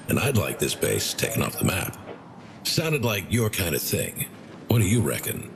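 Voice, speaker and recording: An actor playing a frank, personable military officer in a video game, briefing in a wry, conversational way. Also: male voice, deep voice, creaky, noisy background, full character voice. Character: gruff, personable male military officer, frankly weary of politicians. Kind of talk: casual in-character game briefing.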